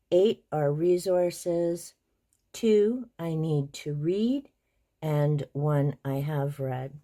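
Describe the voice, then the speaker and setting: Calm low-voiced woman touring bookshelves. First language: Hungarian. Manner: calm, methodical counting and listing. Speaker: booktube host. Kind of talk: casual shelf tour.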